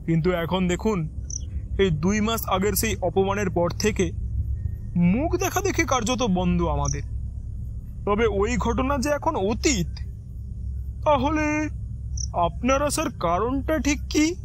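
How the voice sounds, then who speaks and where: A man's voice: sits high, expressive, slow, pauses often, a little hiss or hum. A man playing an agitated, defensive older householder in an audio drama, speaking in emphatic protest. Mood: pleading, indignant. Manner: emphatic, protesting, oath-swearing.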